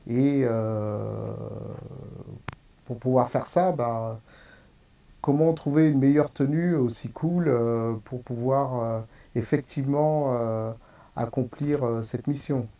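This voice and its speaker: Slow, gravelly man with hesitant speech. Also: mid-range voice, mellow. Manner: hesitant, many fillers. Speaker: hospital nurse. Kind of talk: interview answers.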